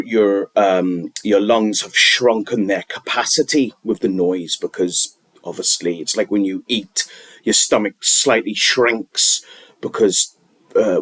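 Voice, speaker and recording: A man talking unscripted, giving wellness advice in a halting, rambling way with many restarts and fillers. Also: lowish voice, a bit bright, breathy edge, no room sound.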